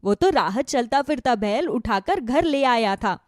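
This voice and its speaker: A woman voicing a chatty, inquisitive village wife in a cartoon moral story, expressive and gossipy. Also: a little high, a little slow, no echo, studio quality. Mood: curious, gossipy. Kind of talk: Hindi animated moral story dialogue.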